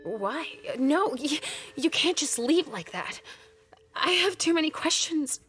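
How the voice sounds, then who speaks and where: A woman's voice: high voice, animated, slow pace, a bit bright, exaggerated voice. A woman playing a troubled, fragile vampire mother in a dramatic game cutscene, with a hesitant, emotional delivery. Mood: shaken. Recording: faint music behind the voice.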